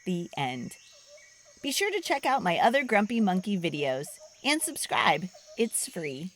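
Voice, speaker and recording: A woman reading a children's picture book aloud as a storyteller, with an expressive, dramatic delivery. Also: medium pitch, unhurried.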